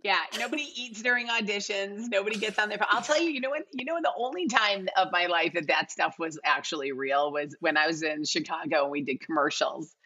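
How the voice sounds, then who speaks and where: A middle-aged woman chatting casually as an interview guest, animated and self-deprecating. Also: medium pitch, brisk, few pauses, breathy edge, highs cut off. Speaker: casting director, podcast guest. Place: home living room, video call.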